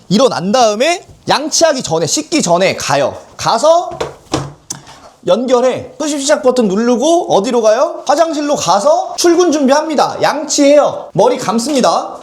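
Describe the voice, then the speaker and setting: A man giving a step-by-step software tutorial as an instructor, often asking questions and answering them himself. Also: very high voice, breathy edge. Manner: asks and answers own questions, acts out example thoughts. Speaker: online-selling instructor and software founder. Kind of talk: live product tutorial, explaining step by step.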